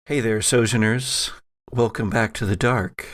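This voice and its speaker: A man hosting and narrating an actual play audio drama as game master, with theatrical, drawn-out emphasis. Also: lowish voice, fairly even intonation, a bit bright, no room sound, clean studio sound. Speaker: podcast host and game master.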